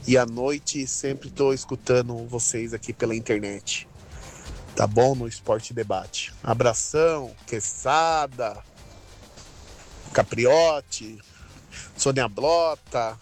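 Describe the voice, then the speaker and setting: A man's voice: medium pitch, slow pace, faint music behind. A man from São Paulo with a local Brazilian accent, speaking casually as a listener sending greetings in a voice message.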